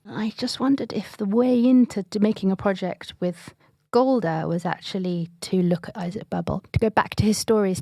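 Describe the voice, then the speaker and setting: A woman's voice: fairly low pitch, warm tone, no echo. A woman, an artistic director, explaining her project in a thoughtful, reflective way. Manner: thoughtful, with frequent restarts. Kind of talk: reflective interview.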